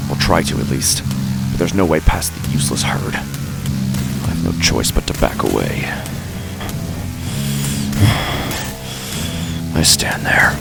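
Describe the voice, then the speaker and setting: A man performs a brooding, menacing street tough narrating a horror audio drama. His voice is extremely low and thick with gravelly fry. He speaks in deliberate, halting phrases with a nihilistic edge, over prominent music. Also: full character voice. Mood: tense, halting. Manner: brooding, halting, deliberate, with heavy pauses and sighs. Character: menacing, drugged, nihilistic male street tough with violent urges. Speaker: horror fiction podcast narrator. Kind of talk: first-person dramatic horror monologue. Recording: music under the voice. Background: background music.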